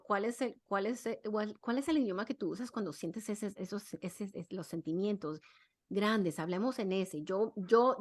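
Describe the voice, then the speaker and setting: A middle-aged woman, a psychologist and podcast guest, talking casually and often restarting and repeating her words. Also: medium pitch. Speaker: guest, clinical psychologist and parenting expert.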